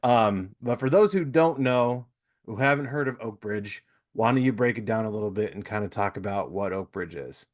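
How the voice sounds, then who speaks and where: A male podcast host with a fairly low voice, talking in an easygoing, rambling way. He leans on fillers like "you know" as he works through his questions. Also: lively intonation, moderate pace. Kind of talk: casual interview chat.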